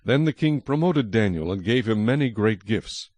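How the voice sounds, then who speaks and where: A man's voice: on the low side, expressive, moderate pace, a little mellow, dry recording. A man narrating scripture from a script as the narrator of a dramatized reading.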